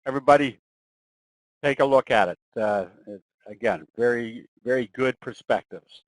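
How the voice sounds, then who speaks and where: A man's voice: mid-range voice, normal speed, no echo, low-quality recording. A man hosting a live webinar, wrapping up informally with fillers and thanks to his guest. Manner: loose, informal wrap-up with fillers. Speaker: host.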